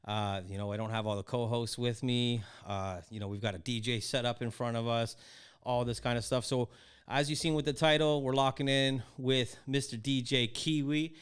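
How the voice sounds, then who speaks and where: A man's voice: medium pitch, fairly even intonation, normal speed, smooth. A middle-aged man from Canada hosting a podcast in a casual, chatty way, with frequent fillers and restarts. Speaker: podcast host. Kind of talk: casual chat.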